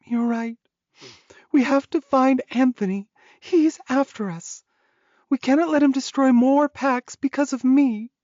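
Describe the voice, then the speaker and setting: A man's voice: measured pace, darkish tone, dry recording, lo-fi sound. A man voicing an anxious, emotional young werewolf heroine in an audiobook, exclaiming in distress. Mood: resolved. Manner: high-pitched, exclaiming, distressed.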